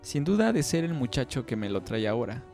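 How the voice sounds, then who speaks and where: A man's voice: medium pitch, sing-song, quick pace, creaky, darkish tone, soft background music. A man voicing a glib, charming male reporter with an affectedly composed manner in audiobook dialogue. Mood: falsely reassuring.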